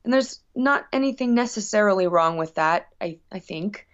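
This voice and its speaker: Earnest lady musician chatting in an interview, thinking out loud, kinda dull lo-fi audio. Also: mid-range voice, expressive, moderate pace. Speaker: guest musician. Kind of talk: reflective casual interview talk. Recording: dull, lo-fi sound.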